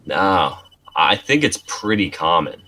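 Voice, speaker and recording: Man playing a reluctant sleuth's sidekick, lowish male voice, very varied intonation, dry, protesting, script-reading drama. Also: sing-song, takes it slowly, pushed and bright, heavily acted. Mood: defensive.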